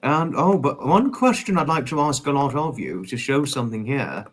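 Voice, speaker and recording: A man's voice: medium pitch, sing-song, moderate pace, slightly rough. A man in his twenties chatting casually as a livestream host, reading and answering viewers, effusively polite and wordy, often repeating his phrases.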